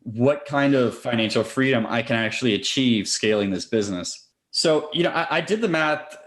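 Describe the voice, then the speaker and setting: A man's voice: medium pitch, moderate pace, fairly bright tone. A man speaking as a podcast interview guest, a real estate investor explaining things conversationally and step by step.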